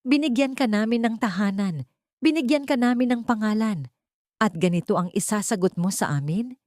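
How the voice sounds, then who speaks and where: A woman's voice: a little high, expressive, normal speed, darkish tone, no room sound, clean studio sound, pitched above the performer's own voice, lightly acted. A woman voicing a furious, bitter old aristocratic mother-in-law in an audio drama, indignant and accusing. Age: older.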